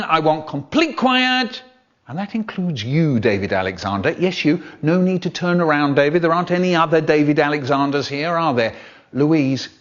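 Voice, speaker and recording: A man's voice: a little high, fairly bright tone, dull audio, pitched above the performer's own voice. A man doing a stern, sarcastic schoolteacher. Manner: bossy, sarcastic, clipped. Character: stern schoolteacher scolding a restless class.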